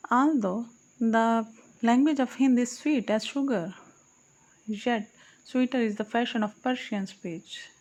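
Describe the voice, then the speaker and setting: Female audiobook narrator, higher-pitched, solemn recital of archaic verse with self-corrections. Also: unhurried, darkish tone. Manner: solemn recitation, correcting her own slips. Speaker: audiobook reader. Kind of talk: reading archaic poetry aloud.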